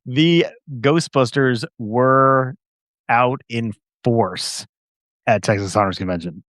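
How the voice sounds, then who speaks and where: A man's voice: medium pitch, slow pace, a bit bright, no room sound. A man hosting a podcast, talking in an easygoing, upbeat way about a convention. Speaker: podcast host. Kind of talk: casual podcast talk and show-floor interview.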